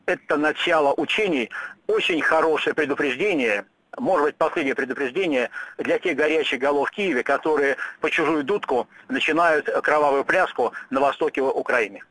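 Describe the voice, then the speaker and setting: A man answering questions in a radio interview, speaking in firm, emphatic statements broken by frequent "uh" pauses. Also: on the high side, a bit bright, no echo, rough recording.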